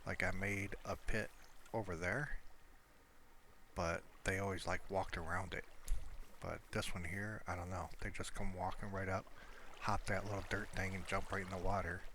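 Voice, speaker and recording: A man's voice: low-pitched, flat delivery, moderate pace, bright, forceful tone. A man giving casual, rambling commentary over his own video game play, speaking off the cuff. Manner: rambling, unscripted asides. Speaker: gamer narrating own gameplay. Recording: clearly noisy home recording.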